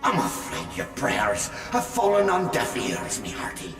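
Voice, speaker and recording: Game villain: gloating executioner, hugely dynamic threats, drenched in echo. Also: male voice, on the low side, huge pitch swings, measured pace, fairly bright tone, full character voice. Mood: threatening. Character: menacing, gloating pirate executioner. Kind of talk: theatrical video game villain acting. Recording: cavernous long reverb with music.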